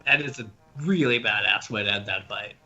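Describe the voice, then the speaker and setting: A man with a very bright, forceful voice chats casually as a podcast guest. He has a giggly, amused way of talking, and the recording is a bit dull and lo-fi. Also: fairly low pitch, a little fast, gravelly, faint music behind. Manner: giggly, laughs through sentences. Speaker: podcast co-reviewer. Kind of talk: casual chat. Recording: dull lo-fi audio.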